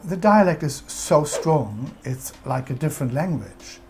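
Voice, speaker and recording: A woman's voice: low-pitched, lively intonation, unhurried, a little mellow, slight background noise. A woman with a German accent, narrating a scripted explainer about English culture in an instructive, emphatic way.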